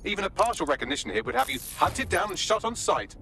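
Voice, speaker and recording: Droll video game AI, formal and clipped, lively high-ish pitch, clear background noise. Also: male voice, fast talker, heavily acted. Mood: dry, droll. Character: male AI assistant, dry, witty and precise.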